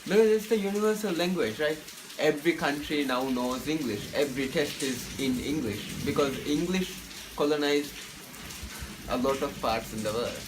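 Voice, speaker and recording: A man's voice: a little high, normal speed. A young man from the Maldives, a university student giving opinionated answers in a casual interview and repeating words while he thinks. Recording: noisy with frying sizzle behind.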